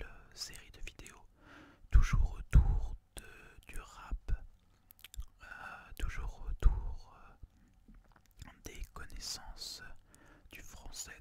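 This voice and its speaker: A young man from France hosting ASMR, whispering breathily to viewers in a soothing, deliberate manner. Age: young adult. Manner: soothing, deliberate, repeats phrases softly. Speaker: ASMR video host. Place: small student apartment, indoors.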